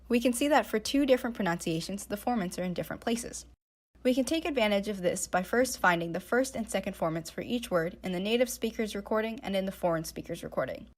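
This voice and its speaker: Young woman doing a scripted voiceover for her student presentation, average pitch. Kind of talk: scripted slideshow narration. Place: voice-over recorded for slides.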